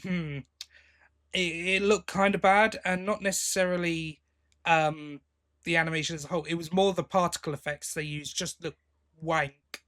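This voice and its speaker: A man's voice: fairly high pitch, steady tone, moderate pace, fairly bright tone. A man co-hosting a podcast in casual chat, giving his opinions informally and bluntly. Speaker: podcast co-host.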